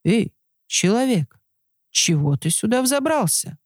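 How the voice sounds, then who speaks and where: A kindly mountain stag from a storybook , voiced by a woman. The speech is slow and expressive, recorded dry and close. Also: fairly high pitch, dry recording, voice pushed up. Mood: friendly. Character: kindly male deer on a mountain top.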